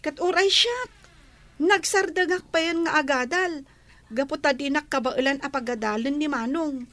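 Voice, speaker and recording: An outspoken young Ilocano daughter played by a woman in a radio play. Her voice is very high and sounds dry and close. She complains and scolds reproachfully. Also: normal speed, a bit bright, no echo. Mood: complaining. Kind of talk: radio drama acting.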